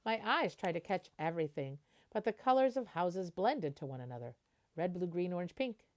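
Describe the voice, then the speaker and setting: Lively woman reading a picture book aloud. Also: on the low side, fairly quick. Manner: animated storytelling, explaining and translating as she goes. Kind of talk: expressive picture-book read-aloud with explanatory asides.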